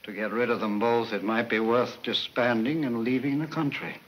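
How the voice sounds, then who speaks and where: A man acts out a criminal mastermind professor from a 1940s film serial. His mid-pitched voice is measured and politely menacing, coldly calculating. The old soundtrack carries faint noise. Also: steady tone, fairly bright tone, lightly acted, poor audio.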